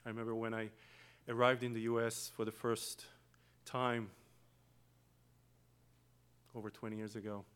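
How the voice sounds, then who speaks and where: A man from Israel with an Israeli accent, a native Hebrew speaker, giving a slow, reflective guest sermon in a church. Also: fairly low pitch, little pitch movement, clean tone. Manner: slow, reflective, with long pauses and soft trailing phrases. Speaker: guest preacher. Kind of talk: meditative sermon with chanted Hebrew opening.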